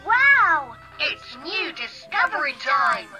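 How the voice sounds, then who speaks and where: A woman voicing an excitable guide on a children's learning laptop, giving simple, enthusiastic instructions. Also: sits high, slow, music in the background, exaggerated voice. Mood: perky, excited. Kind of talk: children's educational toy prompts.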